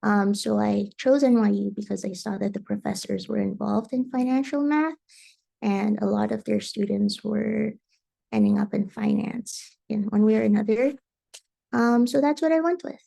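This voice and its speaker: Young woman from indonesia on a student panel, really creaky, mellow low-key tone. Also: moderate pace. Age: young adult. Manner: detailed, advice-giving, frequent ums. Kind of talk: panel answer, casual.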